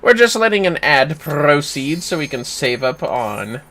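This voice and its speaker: A man's voice: a little high, big pitch swings, unhurried, sharp tone. A man hosting a livestream, casually commenting on a game and explaining how to play it. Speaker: livestream host, gamer. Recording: game music behind the voice. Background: game music.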